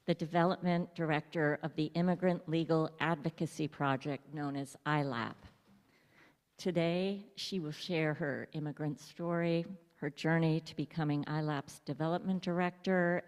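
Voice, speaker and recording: A woman formally introducing a guest speaker at a church service. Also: sits low. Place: church sanctuary. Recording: long church reverb.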